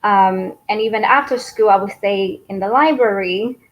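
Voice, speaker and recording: A woman's voice: medium pitch, normal speed, poor audio. A woman raised in Taiwan whose first language is Mandarin, answering interview questions in an earnest way and laughing easily. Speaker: guest, business founder.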